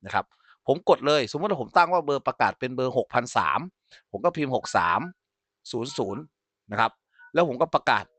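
A man giving an informal software tutorial as an instructor, explaining step by step and often checking that listeners follow. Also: mid-range voice, lively intonation, a little fast, dry recording.

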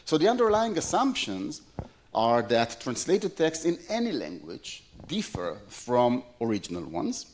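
A man's voice: medium pitch, wildly swooping pitch, unhurried. A middle-aged man whose first language is Hebrew, giving a plenary conference lecture in a large hall, with many fillers and restarts. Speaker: plenary speaker.

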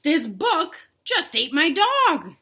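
Woman reading as a polite little storybook girl, earnest, bright voice. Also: high voice, huge pitch swings, takes it slowly, slight background noise, pitched above the performer's own voice, exaggerated voice. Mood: indignant.

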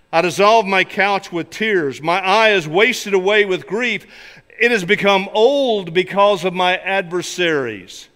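An older male pastor preaches from the pulpit of a large church. His voice is high and forceful, and his delivery is deliberate and emphatic. The sound carries the long reverberation of a big hall. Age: older, 60s or 70s. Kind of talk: sermon with illustrations and scripture readings.

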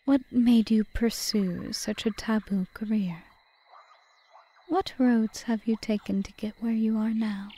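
A woman playing a cunning, possessive, seductive witch in an audio roleplay, speaking in a formal, measured, softly whispery way. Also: a little high, fairly even intonation. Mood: intrigued, seductive. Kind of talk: ASMR audio roleplay, scripted monologue.

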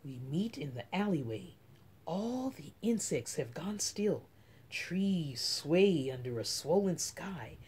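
A middle-aged woman reading a children's picture book aloud as story time host, with dramatic, drawn-out emphasis. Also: low voice, big pitch swings, a little mellow, breathy edge. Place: small room at home.

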